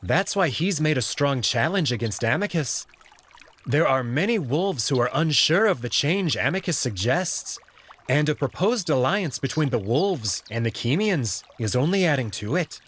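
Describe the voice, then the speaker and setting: A man's voice: a little high, lively intonation, moderate pace, fairly bright tone. A man voicing a friendly, well-spoken alien servant in a visual novel, explaining things politely. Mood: earnest. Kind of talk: visual novel character reading.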